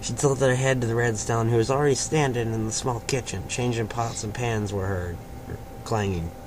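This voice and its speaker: Man reading aloud over clear background noise. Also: medium pitch, fairly even intonation, normal speed. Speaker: fan fiction reader and host. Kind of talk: amateur read-aloud of a story with casual asides. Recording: noisy amateur home recording.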